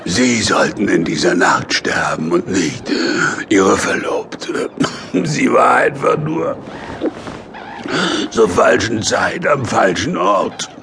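An actor playing a cynical, weary hired killer in a German audio drama, halting, strained and sardonic. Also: male voice, low-pitched, animated, noisy background, heavily acted. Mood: dying, bitter irony. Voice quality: creaky, gravelly.

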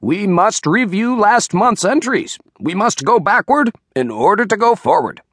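A man voicing a rambling, absent-minded old village congregation leader in an audiobook, halting and easily sidetracked. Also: sits high, big pitch swings, a bit bright, no echo, clean studio sound, voice pushed up, heavily acted. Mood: pleased, officious. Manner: halting, digressive, self-interrupting. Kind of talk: audiobook character voice.

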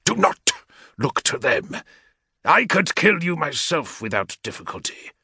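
A man's voice: on the high side, sing-song, measured pace, pushed and bright, breathy edge, no room sound, clean studio sound, pitched above the performer's own voice, heavily acted. A man voicing a crippled, menacing male equerry who growls threats from behind a respirator. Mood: cold menace.

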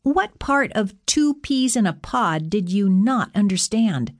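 A woman's voice: mid-range voice, animated, normal speed, a little mellow, no echo, clean studio sound, pitched above the performer's own voice, close to an everyday voice. A woman voicing a frustrated, emotional young goddess in an audiobook, with an emphatic, accusing way of talking. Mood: exasperated. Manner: exasperated, emphatic.

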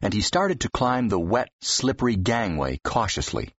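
A man narrates a novel in a low, husky voice. His reading is expressive, with wide melodic movement, and the recording is dry and a little dull. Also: normal speed, dry recording. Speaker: audiobook narrator. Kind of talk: expressive scripted fiction narration. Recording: dull, slightly muffled audio.

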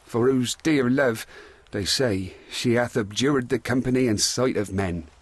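Steady sea captain, husky voice. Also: male voice, medium pitch, measured pace, dry recording. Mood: earnest, reassuring. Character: respectful, steady sea captain who survived a shipwreck. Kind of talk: Shakespearean audio drama.

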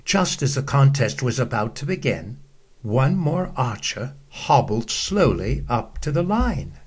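A man narrating a children's bedtime story, slow and expressive, acting out the quotes. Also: medium pitch, slightly rough.

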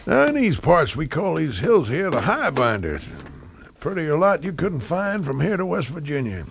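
Casting: folksy aging rancher, western radio play. Gravelly, lively swings in pitch, rambling and hospitable. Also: male voice, normal speed, noisy background. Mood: reminiscing, proud. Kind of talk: western audio drama acting.

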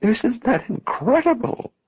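A man voicing a short, mousy church woman, excitable and full of wonder, in an audiobook. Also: medium pitch, fast talker, vocal fry, slightly rough, no echo, highs cut off, voice pushed up, exaggerated voice. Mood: awestruck excitement. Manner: awestruck, eager, questioning. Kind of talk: audiobook character voice.

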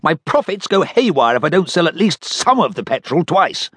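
A man's voice: on the high side, big pitch swings, normal speed, fairly bright tone, breathy edge, no echo, studio quality, voice pushed up, full character voice. A man voicing a pompous, conniving con man who talks like a plummy army officer. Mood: blustering.

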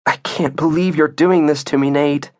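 A man's voice: sits high, sing-song, measured pace, breathy edge, dry recording, studio quality, pitched above the performer's own voice, exaggerated voice. A man voicing an exasperated, worried, sceptical mother with an emphatic, protesting manner, as in an audiobook.

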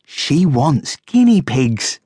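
A woman playing an eager, excitable male guinea pig with a squeaky, enthusiastic manner in a children's storybook. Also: slow, husky, no room sound, clean studio sound, heavily acted. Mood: gleeful.

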